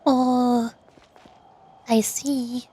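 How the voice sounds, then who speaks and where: High-voiced woman livestreaming a game. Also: level tone, very slow, dark tone, breathy edge. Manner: chatty, talks to herself. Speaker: streamer. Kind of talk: livestream gameplay commentary and reading game text aloud. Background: game music.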